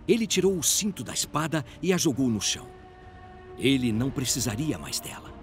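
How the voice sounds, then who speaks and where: A man's voice: medium pitch, big pitch swings, brisk, soft background music. A man with a Brazilian accent narrating a scripted story in a dramatic audio drama style.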